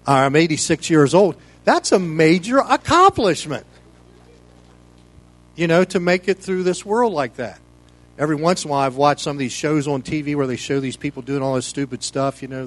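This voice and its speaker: Male pastor, American, mature. Informal, conversational preaching, folksy and meandering, medium pitch. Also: poor audio. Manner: folksy, rambling, peppered with "you know". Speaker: pastor leading a Bible study. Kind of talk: informal sermon, conversational teaching.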